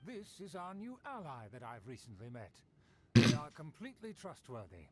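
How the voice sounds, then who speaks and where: A man's voice: mid-range voice, huge pitch swings, moderate pace, a little mellow, faint music behind. An actor voicing a haughty, entitled nobleman in a video game, speaking with pompous, formal grandeur. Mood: proud. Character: haughty, entitled nobleman of a fallen clan, easily affronted.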